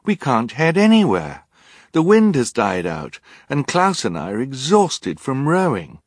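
A male narrator plays an earnest teenage girl in a storybook. The voice lifts in pitch and presses each point firmly, with defiant exasperation and a slightly husky edge. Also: normal speed, dry recording, studio quality.

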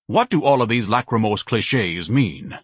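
A man's voice: medium pitch, very animated, moderate pace, slightly rough, dry recording, studio quality, pitched above the performer's own voice. A man voicing a huge, pompous and suspicious grown son with an aggrieved, bookish way of speaking in an audiobook story.

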